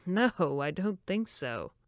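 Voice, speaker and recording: A woman's voice: lowish voice, big pitch swings, extremely slow, no echo, clean studio sound, heavily acted. A woman playing a fussy, dismissive summer camp director in a horror audio drama, with prim, wordy phrasing.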